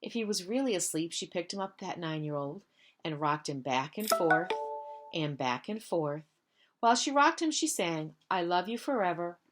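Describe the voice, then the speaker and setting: A woman's voice: on the low side, expressive, moderate pace, loud music behind the voice. Woman reading a children's storybook aloud. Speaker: school staff member reading stories to pupils.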